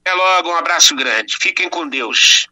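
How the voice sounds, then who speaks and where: Earnest Brazilian man, bright and high. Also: normal speed, distant mic, poor audio. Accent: Brazilian Portuguese. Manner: earnest, reflective, affectionate sign-off. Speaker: guest actor and playwright. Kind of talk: radio interview chat.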